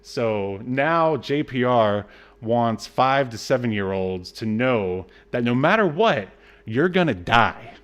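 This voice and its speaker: A man's voice: mid-range voice, lively intonation, slow, fairly bright tone. Man, mid-range voice, podcast co-host, casual film recap, sardonic, exasperated, some swearing.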